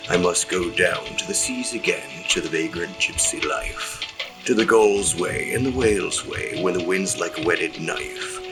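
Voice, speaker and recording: An actor playing a solemn older sea captain in an audio drama, speaking in formal, old-fashioned, poetic phrases. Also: male voice, deep voice, a little slow, over music. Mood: wistful, solemn. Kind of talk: period audio drama acting.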